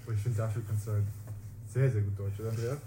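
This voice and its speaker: A man's voice: lowish voice, fairly even intonation, fast talker, noisy background. A man interviewing in an informal, encouraging way as a casual podcast host. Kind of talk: casual chat.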